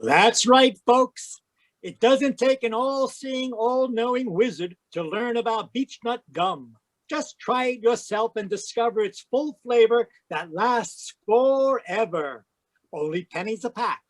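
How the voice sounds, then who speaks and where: A man's voice: very high voice, slow, no echo. An upbeat old-time radio announcer with a salesman's delivery, performed by a man. The recording is dry and close.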